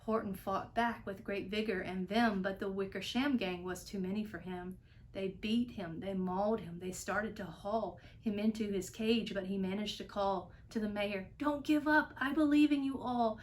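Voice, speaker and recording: A woman reading a children's storybook aloud in an expressive narrating style. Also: medium pitch, moderate pace, a little hiss or hum. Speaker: storybook reader.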